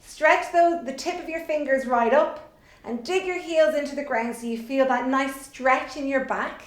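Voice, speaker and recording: A woman's voice: high-pitched, normal speed. A middle-aged woman presenting a children's theatre workshop, explaining simply and guiding with encouragement. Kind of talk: children's instructional video, explaining and leading warm-up exercises. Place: indoors in a bare room at home. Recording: echoey room.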